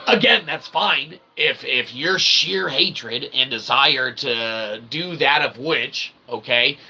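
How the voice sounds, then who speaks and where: Gravelly, forceful man on a rant. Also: medium pitch, takes it slowly, low-quality recording. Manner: emphatic, ranting, peppered with "okay?" and "right?"; casually profane. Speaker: independent author and channel host. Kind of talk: opinionated rambling monologue.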